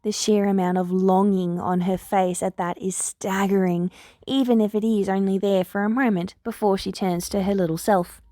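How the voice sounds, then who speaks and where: A woman's voice: medium pitch, fairly even intonation, moderate pace, dark tone, dry recording, studio quality. A woman narrating written fiction as an audiobook-style reader. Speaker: podfic reader.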